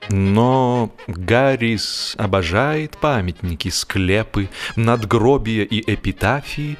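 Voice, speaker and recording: A man's voice: on the low side, expressive, takes it slowly, soft background music. A man narrating humorous literary prose from a script, as an audiobook narrator.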